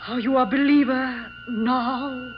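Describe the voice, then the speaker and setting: An actress playing a bride possessed by a dead wife, theatrical and halting in old-time radio style, on a worn old recording. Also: female voice, sits high, steady tone, highs cut off, over music. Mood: cold, accusing. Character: vengeful dead wife speaking through a frail young bride.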